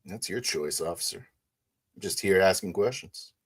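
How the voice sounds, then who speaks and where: A man playing a cocky, nosy biker in a tabletop roleplay, loud, casual and needling with police. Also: low voice, moderate pace, breathy edge. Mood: defiant.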